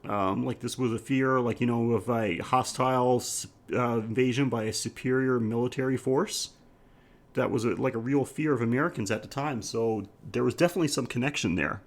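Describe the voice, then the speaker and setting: A man with a mid-pitched voice co-hosts a casual film podcast. He speaks haltingly, thinking aloud and circling back to rephrase his points. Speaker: podcast co-host.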